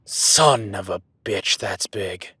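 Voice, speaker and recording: A gruff cowboy hunter, voiced by a man, who barks orders and swears freely. His voice is husky and breathy, with a tight, tense edge to it. He drops to hushed whispers when stalking. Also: low-pitched, takes it slowly, no room sound, full character voice. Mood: urgent, alarmed. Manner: swearing, commanding, urgent. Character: gruff rugged cowboy hunter tracking a beast. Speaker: ranch hand tracking a beast. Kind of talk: audio roleplay.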